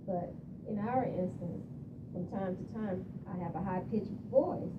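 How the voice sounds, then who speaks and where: Reflective woman, distant and muffled. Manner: speaks with pauses and restarts, reflective. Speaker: guest on a talk show. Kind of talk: casual advice talk. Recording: distant muffled recording with rumble.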